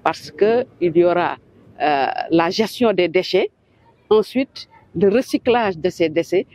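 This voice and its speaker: A Senegalese woman, an elected official, giving a deliberate and formal press statement. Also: lowish voice, animated, darkish tone. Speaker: elected local official, vice-president.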